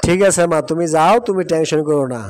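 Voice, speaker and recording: A man's voice: fairly high pitch, fairly even intonation, fast, close to an everyday voice. A man playing an evasive young village lover in a cartoon, coaxing and placating. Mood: reassuring. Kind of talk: cartoon voice acting. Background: music.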